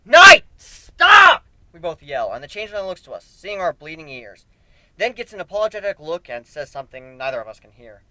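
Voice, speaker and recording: A man giving an expressive dramatic reading of a fan fiction story as an amateur narrator. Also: fairly high pitch, quick pace, dry recording, poor audio.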